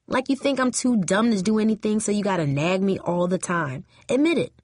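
A woman's voice: medium pitch, lively intonation, brisk, no echo, clean studio sound, pitched above the performer's own voice. Woman as a bold, outspoken teenage girl. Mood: hurt, defiant. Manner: outspoken, challenging. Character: bold, friendly, defiant teenage girl cheerleader.

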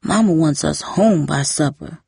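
A woman's voice: deep voice, a little slow, gravelly, dark tone, husky, no room sound, studio quality, exaggerated voice. A woman voicing a confused elderly grandmother who talks like an insistent little girl, in a storybook reading. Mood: insistent, childlike. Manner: childlike, insistent.

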